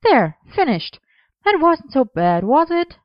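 A woman voicing a brisk, bossy but friendly young female costume maker in an audiobook story. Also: sits high, big pitch swings, no echo, dull audio, voice pushed up, heavily acted. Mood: cheerful. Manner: brisk, no-nonsense.

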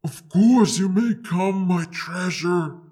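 An actor voicing a calm, pleased and possessive dragon, speaking slowly with a soft husky tone. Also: male voice, high-pitched, full character voice. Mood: indulgent. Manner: slow, drawn-out, softly husky delivery.

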